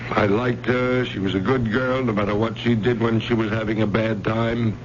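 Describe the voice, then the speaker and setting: An actor playing a sympathetic, insistent pawnshop owner in a radio drama, speaking earnestly and pleadingly. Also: male voice, on the low side, little pitch movement, normal speed, lightly acted. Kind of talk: 1970s radio drama acting. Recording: muffled, noisy old radio broadcast.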